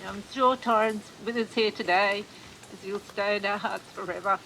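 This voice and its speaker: A mourner speaking softly and tenderly at a funeral. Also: medium pitch, fairly even intonation, normal speed, gravelly. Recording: distant mic, loud crowd and noise.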